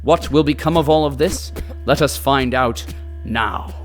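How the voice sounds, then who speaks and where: An actor playing a theatrical radio narrator who delivers ornate, melodramatic horror commentary. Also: male voice, medium pitch, measured pace, natural voice. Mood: grave, arch. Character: theatrical male radio narrator, dramatic and urgently horrified. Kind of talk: old-time radio style horror commentary. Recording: music bed under the voice.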